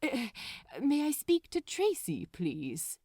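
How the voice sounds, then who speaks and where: A prim, polite gentleman played by a woman narrator in an audiobook. He is anxious and hesitant, with a very animated pitch and pleading manner, recorded dry. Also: takes it slowly, dry recording, exaggerated voice. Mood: polite, nervous. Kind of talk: fan fiction audiobook character voice.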